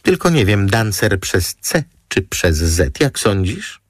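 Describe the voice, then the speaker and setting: Man putting on a gushing high-pitched glamour girl. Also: sing-song, slow pace, dry recording, clean studio sound, full character voice. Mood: breezy. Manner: cooing, theatrical, exclamatory. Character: gushing, theatrical young glamour model woman, coquettish.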